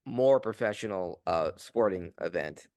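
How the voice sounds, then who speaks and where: A man's voice: low-pitched, animated, unhurried, a little mellow, no room sound, clean studio sound. A man on a wrestling podcast, analytically listing production details. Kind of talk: casual wrestling podcast chat.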